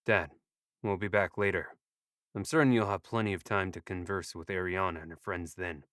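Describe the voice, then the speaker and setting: Man voicing a wry, very low-voiced young elf noble. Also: fairly even intonation, normal speed, fairly bright tone, dry recording, clean studio sound. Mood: casual, assured. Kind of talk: audio drama dialogue.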